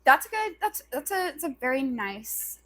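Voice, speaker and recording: Woman co-host, high-pitched voice, casual chatty delivery, loose colloquial phrasing, frequent filler words. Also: big pitch swings, normal speed, pushed and bright. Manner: very colloquial, loose valley-girl-like phrasing full of "like". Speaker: podcast co-host. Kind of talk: casual chat.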